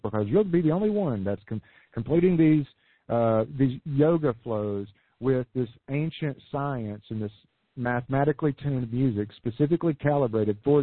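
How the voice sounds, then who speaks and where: Hoarse husky man on a phone line. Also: mid-range voice, dry recording. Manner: energetic, salesy, restarts often. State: losing his voice. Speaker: business coach guest. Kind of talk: podcast interview. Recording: phone-like lo-fi dry audio.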